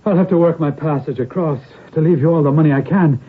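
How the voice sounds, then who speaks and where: A man's voice: fairly high pitch, quick pace, husky. An actor playing a proud, rash Irish nobleman and father in a radio drama, speaking in a grave, dramatic manner. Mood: grave, desperate. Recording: muffled narrow-band radio broadcast recording.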